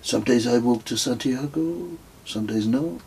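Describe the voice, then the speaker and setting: A man's voice: mid-range voice, a little slow, gravelly, darkish tone, a little hiss or hum, voice pushed up, rough recording. A man voicing a serene, smug male pilgrim who speaks broken English with a strong French accent, in a sing-song, self-satisfied way.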